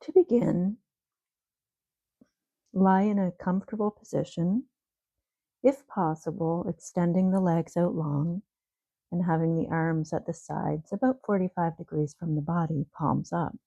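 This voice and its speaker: Low, mellow woman guiding sleep meditation. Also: unhurried, vocal fry, no echo, rough recording. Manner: soothing, gently instructing. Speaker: meditation guide.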